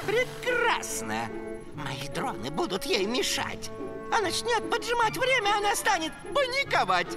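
A woman's voice: very high voice, wildly swooping pitch, a little slow, over loud music, heavily acted. A woman voicing a scheming, falsely kind young male fox villain in a children's cartoon, theatrical and gloating.

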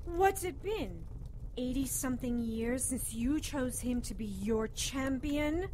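Actress as high-voiced, defiant woman doctor. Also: animated, slow, warm tone, a little hiss or hum. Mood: challenging. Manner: challenging, assertive. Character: defiant, sharp-tongued female doctor in love, narrating. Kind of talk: radio drama acting and narration.

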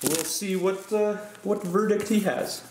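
A man hosting his own card-opening videos, chatting casually with fillers and excited exclamations, recorded by a camera mic at a tabletop indoors. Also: high voice, unhurried, echoey room, very noisy. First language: English. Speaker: host of a card-opening channel. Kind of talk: casual hobby commentary.

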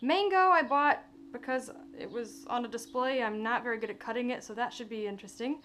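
A woman's voice: a little high, brisk, noisy background. A young woman talking in a chatty, informal way, giving a casual how-to vlog in her kitchen. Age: young adult. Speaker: vlogger and fitness coach. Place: home kitchen, indoors. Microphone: camera across the counter. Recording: echoey kitchen, away from mic.

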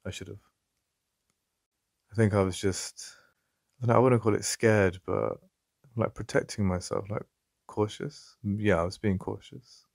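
A man with an English accent playing a jealous, possessive yet vulnerable boyfriend in an intimate ASMR roleplay, halting and conversational with a gravelly voice. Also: lowish voice, fairly even intonation, a little mellow, no echo, clean studio sound. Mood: calming, vulnerable. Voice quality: gravelly, creaky. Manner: halting, repeating himself, conversational. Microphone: close, dry studio-style mic.

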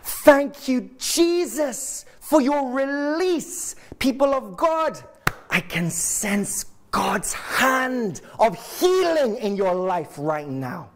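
A man's voice: very high voice, very animated, drawn-out words, background noise. A man leading fervent prayer, declaring healing with passion, recorded on a lapel mic in a studio. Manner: impassioned, sweeping arm gestures. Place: studio in front of a logo screen.

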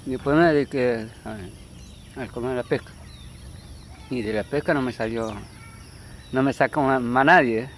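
Plain-spoken Argentine river fisherman, outdoors. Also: medium pitch. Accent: Argentine, Paraná river islands. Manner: halting, plain-spoken, repeats phrases. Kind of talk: casual recollection. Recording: faintly noisy outdoor field recording.

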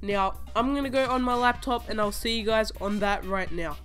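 A woman's voice: medium pitch, normal speed, a bit bright, faint music behind. A young woman hosting a gaming channel, stuffy from a cold, chattily walking viewers through a game tutorial step by step in a casual voice-over. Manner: chatty, repeating instructions step by step. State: sick with a cold, stuffy-nosed. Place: home voice-over over gameplay footage.

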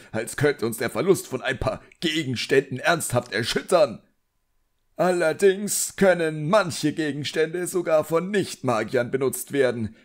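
Casting: pompous, irate middle-aged wizard bureaucrat for an audiobook, raised pitch, barking contempt, performed by a male narrator. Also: close to an everyday voice. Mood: irate, dismissive.

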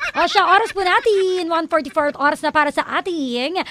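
A woman's voice: extremely high pitch, normal speed, noisy background. A woman co-hosting a radio drama show, bantering playfully, laughing often and reading out listener messages. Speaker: radio DJ co-host. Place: radio studio. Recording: music bed under the talk.

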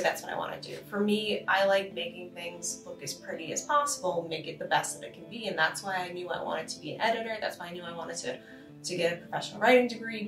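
A woman from Boston, co-hosting a casual podcast panel and telling stories with lots of laughter. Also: fairly low pitch. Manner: animated, laughing storyteller. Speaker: podcast co-host. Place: conference room around a table. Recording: roomy, distant with faint music.

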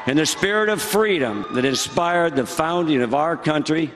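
A man's voice: a little high, lively intonation, moderate pace, a bit bright, slight background noise. A man announcing his delegation's votes in a proud, declamatory roll-call speech. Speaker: state delegation spokesman. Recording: convention floor crowd.

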